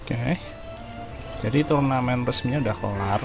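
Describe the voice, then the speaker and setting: A man's voice: medium pitch, moderate pace. A man giving casual, slangy game commentary in short asides to viewers. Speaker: game streamer. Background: game music and dialogue.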